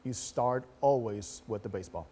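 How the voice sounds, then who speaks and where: A man's voice: medium pitch, moderate pace, dark tone. A man giving live baseball analysis as a panelist on a sports show.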